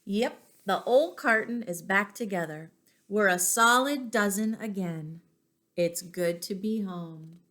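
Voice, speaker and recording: A woman voicing an earnest, friendly egg from a children's storybook, speaking expressively with drawn-out words. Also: medium pitch, fairly bright tone. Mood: earnest, resolved.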